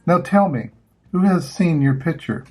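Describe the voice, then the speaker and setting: An older man reading the lines of a serious, self-assured boy detective in a storybook, terse and deadpan. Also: on the high side, normal speed, breathy edge, faint music behind, voice pushed up. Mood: serious, questioning.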